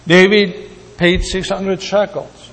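A man from Greece preaching a sermon in a deliberate way, repeating words for emphasis. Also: sits high, level tone, a bit bright. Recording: long hall reverb.

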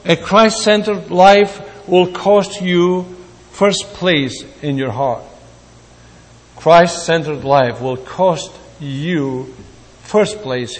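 A man from Greece preaching a sermon in a deliberate way, repeating words for emphasis. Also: a little high. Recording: long hall reverb.

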